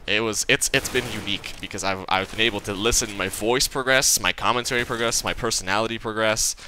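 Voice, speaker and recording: Stuttering, rambling young man, bright voice. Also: on the low side, steady tone, quick pace, a little hiss or hum. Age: young adult. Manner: rambling, stuttering, profane, unfinished sentences. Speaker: gaming channel host. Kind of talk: casual gameplay commentary.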